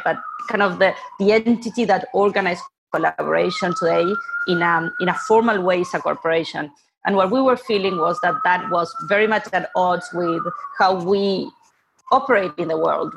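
A woman's voice: fairly low pitch, creaky, a little hiss or hum, low-quality recording. A woman from Argentina speaking as a podcast guest, explaining things in a casual, conversational way. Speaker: podcast guest, open source funding co-founder.

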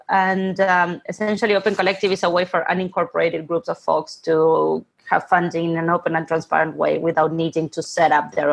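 A woman's voice: on the low side, creaky, breathy edge. A woman from Argentina speaking as a podcast guest, explaining things in a casual, conversational way. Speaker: podcast guest, open source funding co-founder.

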